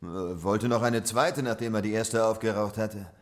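An actor playing a shady Victorian opium-den owner in a German radio drama, deferential and self-justifying as a witness. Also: male voice, lowish voice, moderate pace, lightly acted.

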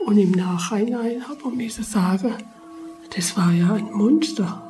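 A woman's voice: mid-range voice, a little slow, warm tone, soft background music. A woman giving a spoken recollection as an interviewed witness.

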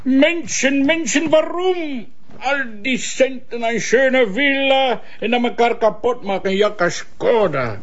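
A man's voice: very high voice, lively intonation, slow, fairly bright tone, exaggerated voice. An actor playing a Polish male coroner with a Polish accent who speaks broken Flemish with blunt cheerfulness about corpses. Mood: breezy, blunt. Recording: dull lo-fi radio play.

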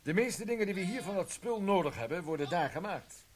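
An actor playing a cheerful, chatty police desk officer in a radio play, breezy and gossipy. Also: male voice, high-pitched, lively intonation, a little fast, slight background noise. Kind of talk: broadcast radio play acting.

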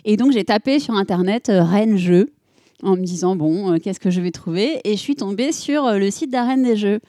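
A woman's voice: mid-range voice, lively intonation, moderate pace, mellow, no room sound, studio quality. A woman in her late thirties, a guest on a panel, telling her story in a hesitant way, with many fillers and restarts. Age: 40s. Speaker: board game club member, guest. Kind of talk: casual panel discussion.

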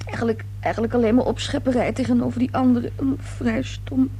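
A high-voiced, anxious young woman, played by a radio actress, speaks in stammering, defensive bursts, as a guilt-ridden daughter would. It is an old radio drama recording with clear hum and noise. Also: fairly even intonation, brisk, warm tone, lightly acted. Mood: embarrassed, hesitant. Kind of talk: radio play acting.

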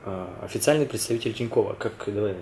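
A man vlogging in a casual, halting way, speaking while ill with a weakened voice. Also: lowish voice, a little mellow, a little hiss or hum. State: ill, voice affected by a cold. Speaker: video blogger addressing viewers. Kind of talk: casual chat to camera.

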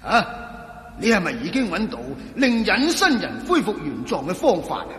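Radio drama villain: greedy, scheming crime boss, high-pitched and swooping, sneering Hong Kong Cantonese. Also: male voice, very animated, normal speed, pushed and bright, heavily acted. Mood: menacing. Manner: sneering, gloating, theatrical, with taunting interjections. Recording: echoey reverberant hall sound.

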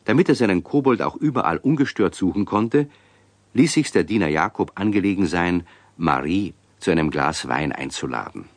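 A man's voice: deep voice, animated, moderate pace, no room sound. A man narrating a scripted children's radio play as its storyteller.

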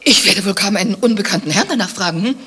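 An actress playing an imperious, accusing wife in a satirical radio play, scornful and commanding, with a husky voice and theatrical delivery. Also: female voice, medium pitch, expressive, fast talker, pushed and bright, slight background noise. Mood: sharp, accusing. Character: imperious, accusing wife who suspects her husband.

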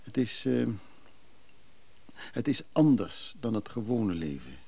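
A weary middle-aged businessman in a radio play, wistful and reflective. His low voice is full of gravel and soft around the edges, with hesitant pauses as he confides. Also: big pitch swings, heavily acted. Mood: grave, confiding. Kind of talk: naturalistic Dutch radio drama acting.

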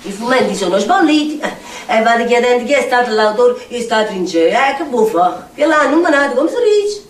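A stage actress playing a bitter, mocking, eccentric slum outcast in a rambling monologue with a Neapolitan accent. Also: female voice, fairly high pitch, big pitch swings, normal speed. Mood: sardonic, mysterious. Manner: rambling, muttering, sardonic, swinging into chant. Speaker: stage actor. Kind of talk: theatrical monologue in dialect. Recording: roomy distant stage recording with noise.

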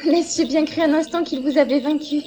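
An actress playing a spirited young woman who speaks in urgent, sharp exclamations. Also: high-pitched, steady tone, a little fast, noisy background, natural voice. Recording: music score playing underneath.

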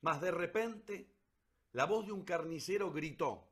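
A man narrating a literary story for radio theatre in an expressive, declamatory way with ironic emphasis. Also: a little high, a little slow.

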